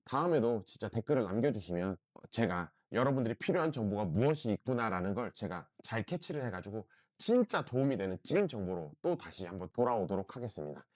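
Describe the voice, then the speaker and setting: A middle-aged man, hoarse from a throat cold, talks through a home product review. His pitch swings up and down a lot as he pushes his point. The sound is close and dry, with no room echo. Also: sing-song, fairly quick, hardly any pauses, darkish tone. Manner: earnest, repeats "진짜" often, persuasive. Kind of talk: casual review vlog.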